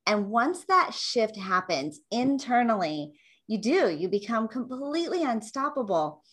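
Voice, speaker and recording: A woman's voice: on the high side, sing-song, measured pace, a bit bright, breathy edge. A woman hosting an interview show, upbeat and enthusiastic as she introduces and questions her guest. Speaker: podcast host.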